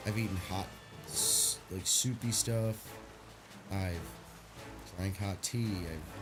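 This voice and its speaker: A man streaming a game, chatting casually and rambling, stuffy from a sinus headache. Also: fairly low pitch. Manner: rambling, laid-back, swears casually.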